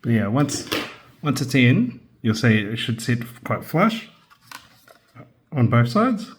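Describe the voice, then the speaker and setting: A man narrating a hands-on assembly tutorial in a casual, careful, unscripted way, pausing as he works. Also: medium pitch, sing-song. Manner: unscripted, careful, halting while working with hands. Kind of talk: casual step-by-step instructional narration. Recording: background noise with clicks and handling sounds.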